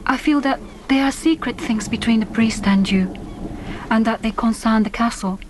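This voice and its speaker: A woman playing a gentle, devoted young Japanese islander in a radio drama, earnest and formal in her phrasing. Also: on the high side, normal speed, background noise, close to an everyday voice. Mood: tender, determined. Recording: music bed under voice. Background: orchestral film score.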